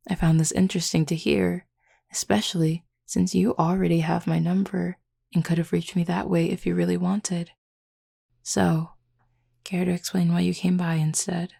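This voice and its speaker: A woman playing a flirty, teasing, confident werewolf pack member in an intimate audio roleplay, speaking unhurriedly with a husky, gravelly voice. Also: lowish voice, flat delivery, moderate pace, warm tone, full character voice. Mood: sly, teasing.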